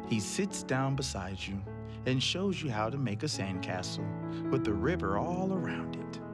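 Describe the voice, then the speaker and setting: A man reads a children's story aloud at an unhurried pace, his voice rising and falling with lively expression. Loud guitar music plays behind him throughout. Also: medium pitch. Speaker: children's read-aloud host. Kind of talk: reading a picture book aloud.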